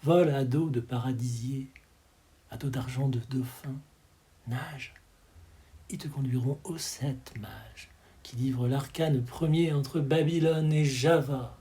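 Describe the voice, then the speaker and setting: A man with a breathy, husky voice reciting poetry expressively, in measured dramatic phrases that often sink to a hush. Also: medium pitch. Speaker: poetry reader.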